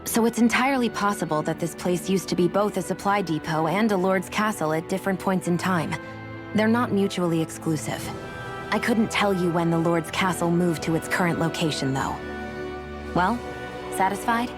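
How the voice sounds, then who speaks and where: Woman acting a guarded, low-voiced game heroine. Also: normal speed, music in the background, natural voice. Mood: matter-of-fact. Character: guarded, prickly, knowledgeable young woman companion.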